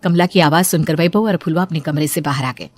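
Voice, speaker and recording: A woman's voice: low voice, a little fast, faint music behind. Woman narrating a cartoon story.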